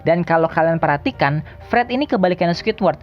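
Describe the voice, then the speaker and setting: A woman's voice: fairly low pitch, lively intonation, quick pace, muffled recording. A woman narrates a countdown video in a casual, slangy, jokey way. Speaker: video host. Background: background music.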